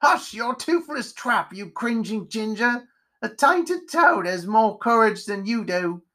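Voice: medium pitch, animated, takes it slowly, a bit bright, pitched above the performer's own voice. A narrator voicing a grumbling, irritable spider in a storybook tale, scolding in folksy, ungrammatical speech. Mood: scornful.

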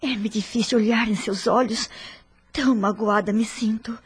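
A woman's voice: fairly high pitch, steady tone, moderate pace, breathy edge. An actress playing a hurt, dignified middle-aged wife and mother in a radio soap opera, with earnest, formal diction. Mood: wounded, sorrowful.

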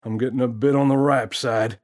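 A man's voice: mid-range voice, steady tone, a little fast, a little mellow, lightly acted. A man doing a cocky slang-talking gorilla. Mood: cocky, teasing. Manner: slangy, boastful, sly jokester. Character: brash, cocky male talking gorilla prankster.

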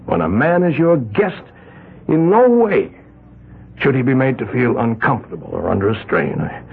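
An actor playing an overbearing older island patriarch, a genial but menacing host with a pompous manner in a 1970s radio drama. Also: male voice, medium pitch, sing-song, moderate pace, vocal fry, breathy edge, exaggerated voice. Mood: apologetic. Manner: pompous, hesitant restarts. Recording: tinny lo-fi old radio broadcast with faint hiss.

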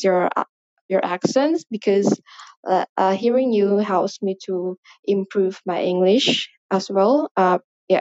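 Woman, Indonesian accent, non-native English, hesitant and rambling, mid pitch, dull lo-fi recording. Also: darkish tone. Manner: rambling, hesitant, many fillers. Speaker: listener leaving a voice message. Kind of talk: casual spoken voice message.

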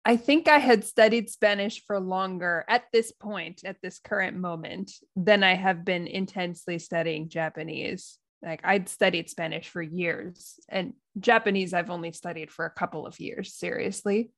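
A woman's voice: on the low side, moderate pace, few pauses, a bit bright. A woman with an American accent co-hosting a casual podcast, thinking aloud and laughing often. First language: English. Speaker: podcast co-host.

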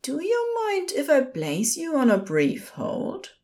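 Woman as male nurse in audio fiction: high, lilting, prim, courteous, soothing, unhurried. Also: exaggerated voice. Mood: courteous, patient. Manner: polite, formal, calm.

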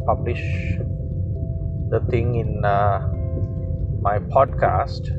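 A man from Sri Lanka, a Sinhala speaker, hosting a casual solo podcast while he drives, pausing often and filling gaps with "uh". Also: medium pitch. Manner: halting, many pauses and fillers. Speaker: podcast host. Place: in a moving car. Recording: dull lo-fi car recording with music.

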